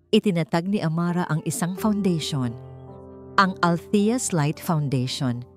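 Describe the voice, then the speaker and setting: Low-voiced woman narrating over music. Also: a little mellow. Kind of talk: scripted dramatic story narration. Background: background music.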